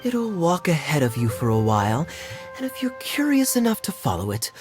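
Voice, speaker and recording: A suave young male knight in a video game. He talks in a teasing, deliberate way with lively, swooping intonation. Music plays clearly behind him. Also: a little high. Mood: sly, amused. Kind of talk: video game cutscene voice acting.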